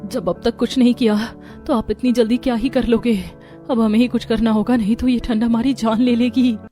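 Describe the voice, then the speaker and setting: A woman voicing young, expressive newlywed sisters in dramatized story dialogue. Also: a little high, moderate pace, soft background music, lightly acted. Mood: complaining.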